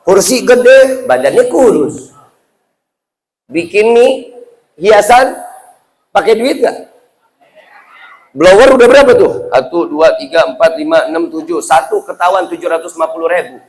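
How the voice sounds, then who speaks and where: A male Islamic preacher with a Jakarta Indonesian accent and a high-pitched voice. He speaks colloquially and playfully to a live audience, firing rhetorical questions to draw them in. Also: animated, a little slow, long pauses, a little mellow, slight background noise, poor audio. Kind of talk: humorous sermon to a live audience.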